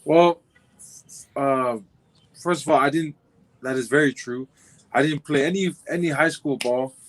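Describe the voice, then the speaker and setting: A man's voice: fairly high pitch, unhurried, fairly bright tone, low-quality recording. A man in his twenties from Southern California, a football player answering interview questions in a laid-back, rambling way. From Compton, California. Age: 20s. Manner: laid-back, rambling, many fillers and restarts. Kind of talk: casual interview.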